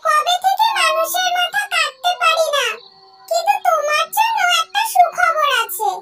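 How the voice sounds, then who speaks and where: A performer voicing a scheming, bloodthirsty female witch with a shrill, conniving delivery in a cartoon horror tale. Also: moderate pace. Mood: conniving glee. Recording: loud music behind.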